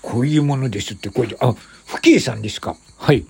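A man's voice: medium pitch, brisk, vocal fry, husky. A man playing a tipsy, flustered, cheerful fellow who rambles and stammers over-politely in an improvised comic skit. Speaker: show host.

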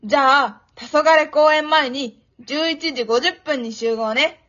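A woman acting a bubbly, pushy, affectionate teenage girl in an amateur radio drama, with a cutesy, expressive delivery. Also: high-pitched, takes it slowly. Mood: eager, pushy. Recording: dull, lo-fi audio.

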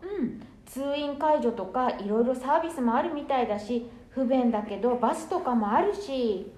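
A woman's voice: high voice, lively intonation, normal speed, a little mellow. A woman playing a supportive wife who backs her husband with a reassuring tone. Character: supportive wife of the eldest son. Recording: echoey room.

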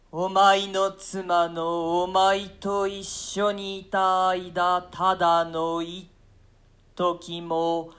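A woman voicing the ghost of a bitter murdered queen in a radio play reading, in a slow, flat delivery. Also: mid-range voice, smooth.

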